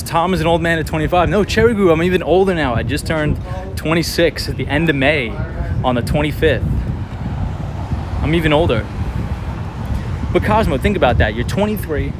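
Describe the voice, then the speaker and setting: A man in his twenties from New York City, chatting casually and encouragingly with livestream viewers while out walking on a city street at night. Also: a little high. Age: 20s. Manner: animated, encouraging, talks to viewers by name. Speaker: livestream host. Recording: outdoor street traffic noise.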